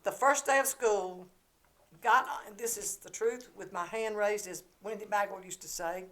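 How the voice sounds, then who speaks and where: A Southern woman in her seventies, a podcast guest telling stories from her life in a lively, playful way with frequent laughter. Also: mid-range voice, a little slow. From Georgia, US. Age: 70s. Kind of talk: casual storytelling chat.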